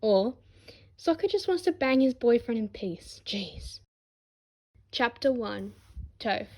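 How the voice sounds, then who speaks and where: A woman's voice: on the high side, animated, slow, a little mellow. A woman narrating a scripted fan story expressively, with wry, dramatic emphasis as an amateur reader.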